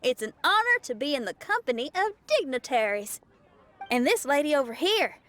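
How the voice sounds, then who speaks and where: A woman's voice: high voice, sing-song, normal speed, a bit bright, no echo. A woman voicing a friendly, upbeat young rock musician in an anime game, casual and earnest. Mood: cheerful. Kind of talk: anime game cutscene acting.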